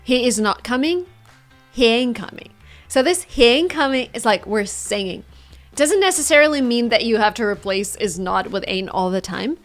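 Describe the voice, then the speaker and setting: A woman's voice: a little high, moderate pace, faint music behind. Upbeat woman with a Russian accent. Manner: lively, demonstrating phrases with exaggerated intonation. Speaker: English teacher. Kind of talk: online English lesson.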